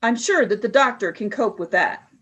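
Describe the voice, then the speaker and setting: A woman voicing a cold, commanding female alien leader in a fan radio play, giving flat, clipped orders. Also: mid-range voice, moderate pace, slightly rough, lo-fi sound.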